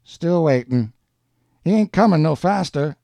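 A crude young working-class bully from a storybook, acted out by a male narrator. He jeers and taunts in combative street talk, his voice pushed higher and swinging widely. The sound is close and dry. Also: expressive, a little slow, vocal fry, mellow, dry recording. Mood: cocky.

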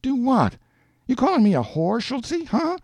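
A tough-talking young woman, as a male narrator puts her on in a short story reading. The voice sits high and jumps around, with slangy, defensive, sharp-tongued phrasing. The sound is close and dry. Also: big pitch swings, normal speed, no room sound. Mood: angry, defiant.

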